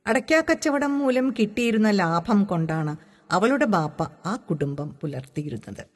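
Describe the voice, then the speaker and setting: A woman from reads literary prose aloud as a measured, deliberate storyteller. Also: medium pitch, expressive. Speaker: audiobook reader. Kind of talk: expressive literary narration. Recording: long hall-like reverb.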